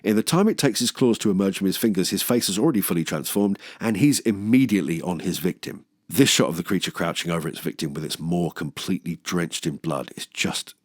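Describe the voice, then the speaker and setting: A man's voice: low-pitched, animated, a little fast, hardly any pauses, no room sound, studio quality. A British man hosting a film review channel, narrating a scripted video essay in an enthusiastic, wry, playfully sweary manner.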